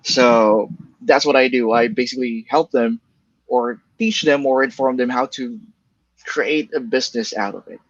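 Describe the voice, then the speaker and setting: A man's voice: mid-range voice, dry recording. Filipino guy, bicol first language, stop-start chatty answers, kinda dull lo-fi dry sound. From Philippines. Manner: halting, many restarts and fillers, laughs lightly. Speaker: guest, email copywriter. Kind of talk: casual interview answers.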